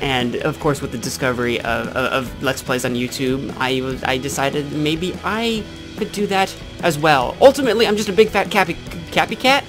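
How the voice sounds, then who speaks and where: A man's voice: on the high side, moderate pace, music in the background. A man in his twenties with a Midwestern American accent, chatting casually to camera as a channel host in a rambling, self-correcting way. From Illinois, United States. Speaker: YouTube gaming channel host. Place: small indoor room with green screen backdrop. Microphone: desk microphone with pop filter, close.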